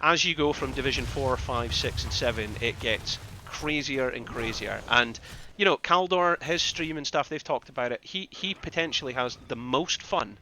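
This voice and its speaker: Man, Scottish accent, mid-pitched bright voice, live game casting, casual asides, repeated phrases, slight background noise. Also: normal speed, few pauses. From Scottish Highlands, northeast Scotland. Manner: conversational, rambling, repeats phrases for emphasis. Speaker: esports caster and streamer.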